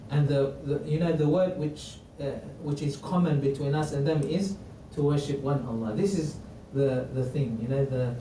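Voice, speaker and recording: A man who is not a native English speaker teaching a religious history class in a mosque, halting with many restarts and quoting Arabic. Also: a little high, warm tone. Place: mosque hall. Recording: roomy, distant mic, faint noise.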